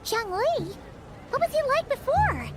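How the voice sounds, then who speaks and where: A woman voicing a small, chatty, excitable fairy companion in a video game, squeaky and childlike. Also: a little slow, full character voice. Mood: curious. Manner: refers to self in third person, exclaims. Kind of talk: video game character dialogue. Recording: game music behind the voice.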